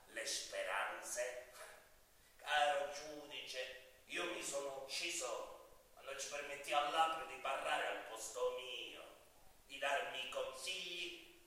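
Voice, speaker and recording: An actor playing a proud, embittered prisoner who killed mafiosi, declaiming emphatically with a Sicilian accent in a stage drama. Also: male voice, mid-range voice, pushed and bright. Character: proud, embittered man jailed for killing mafiosi, defiant. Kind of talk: theatrical monologue and dialogue. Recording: very echoey hall, distant mic.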